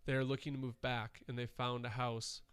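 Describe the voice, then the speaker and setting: A man's voice: mid-range voice, level tone, normal speed, a bit bright, clear, resonant tone, dry recording. A man co-hosting a podcast, chatting casually and rambling with fillers, a little nasal from dust. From Wisconsin. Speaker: podcast co-host. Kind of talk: casual chat.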